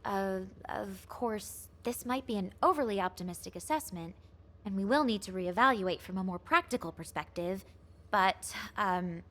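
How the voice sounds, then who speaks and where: A woman's voice: medium pitch, lively intonation, normal speed, fairly bright tone, lightly acted. A woman playing an anxious, earnest executive officer in a video game, hesitant and stammering. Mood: nervous, apologetic.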